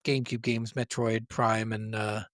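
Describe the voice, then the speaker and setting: A man's voice: on the low side, flat delivery, unhurried, dry recording, clean studio sound. A man co-hosting a podcast, chatting casually about video games in a blunt, opinionated way with frequent swearing. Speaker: podcast co-host.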